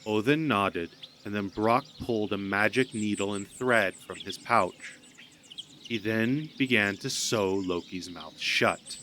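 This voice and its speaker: A man's voice: lowish voice, takes it slowly, bright, forceful tone. A man narrating a scripted story in a dramatic storytelling style. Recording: amateur recording with background noise.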